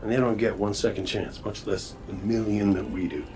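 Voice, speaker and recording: A man as a low-voiced, folksy gunslinger. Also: normal speed, a little mellow, faint music behind, lightly acted. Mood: wistful. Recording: noisy game ambience behind voice.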